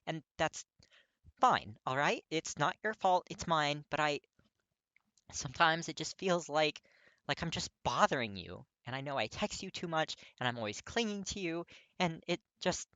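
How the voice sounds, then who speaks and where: A man voicing an anxious, tearful, self-blaming young man in a dramatic audiobook reading, halting and pleading. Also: fairly high pitch, lively intonation, pushed and bright, no room sound, pitched above the performer's own voice.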